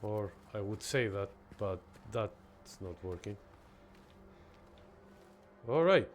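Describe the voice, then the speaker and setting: A man's voice: fairly low pitch, expressive, slow pace, slight background noise. A young man from Greece streaming a horror game, chatting casually with viewers and reacting as he plays. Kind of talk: casual gameplay commentary.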